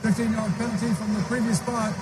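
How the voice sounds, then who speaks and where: Male referee, high voice on a distant stadium PA, formal penalty calls under loud music. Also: flat delivery, fast. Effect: stadium public address. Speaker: football referee.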